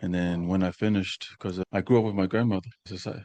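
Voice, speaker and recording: A young man from Samoa, a podcast guest, talking about himself in an easygoing, soft-spoken, unhurried way. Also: low voice, steady tone, dry recording. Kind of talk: casual interview chat.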